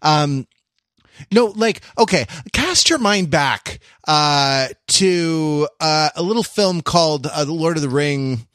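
A man's voice: on the high side, animated, slow pace, a bit bright, no echo, clean studio sound. A man co-hosting a podcast in casual comic banter, riffing in digressions with mock-grand phrasing. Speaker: podcast co-host.

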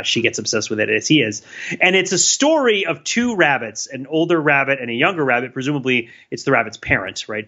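A man co-hosting a podcast explains and retells things in a chatty way. His voice is bright and forceful, and the audio is muffled and lo-fi. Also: mid-range voice. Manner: explanatory storytelling. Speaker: podcast co-host. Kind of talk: casual chat.

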